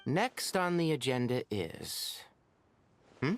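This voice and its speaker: A woman voicing a composed, authoritative young official in a video game, measured, stern yet patient. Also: rumbling low, very animated, full character voice. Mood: calm, puzzled. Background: background music.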